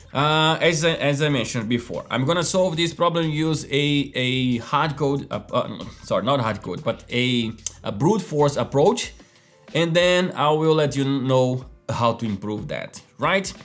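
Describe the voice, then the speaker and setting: A man leads a relaxed live coding lesson at a middle pitch. He talks in a loose, chatty way, full of fillers and quick "okay?" check-ins. Quiet music plays faintly behind him. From Brazil. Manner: chatty, lots of fillers, keeps asking "right?" and "okay?". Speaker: host of a live coding stream. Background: faint background music.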